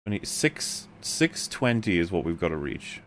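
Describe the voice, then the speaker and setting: Low-voiced rambling man hosting a podcast. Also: very animated, moderate pace, a little hiss or hum. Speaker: podcast host. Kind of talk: casual group chat commentary.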